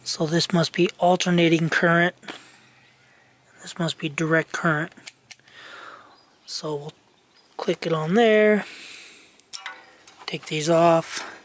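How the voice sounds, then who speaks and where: A man, casually thinking aloud lots of ums and soft muttering while he tests wiring outdoors. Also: on the high side, fairly even intonation, slow, long pauses. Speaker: vlogger. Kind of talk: casual hands-on narration while testing a tractor's wiring. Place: outdoor yard and garage workshop.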